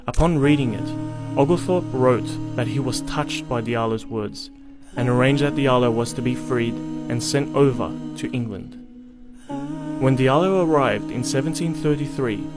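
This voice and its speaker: A man narrates a scripted documentary in a mid-pitched voice. His delivery is measured and articulate, like a storyteller's, with music clearly playing behind him.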